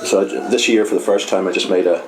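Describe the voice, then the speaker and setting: A man's voice: low voice, quick pace, poor audio. A middle-aged man with an Irish accent explaining his work in an informal interview, hesitant and often adding "you know". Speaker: pantomime scenery painter. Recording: loud music behind the voice. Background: loud music.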